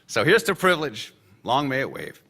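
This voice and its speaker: A man's voice: mid-range voice, wildly swooping pitch, moderate pace, pushed and bright, in a large hall, heavily acted. An actor playing a brash, boozy male government insider at a victory party, sarcastic and laughing between his lines. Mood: needling. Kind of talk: radio play acting.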